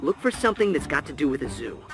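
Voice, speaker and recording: Serious young turtle ninja leader in a video game, bit high, really animated, kinda reproachful, music behind. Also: male voice, fast. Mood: insistent. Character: earnest, responsible young mutant turtle leader, male. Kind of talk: animated game cutscene acting.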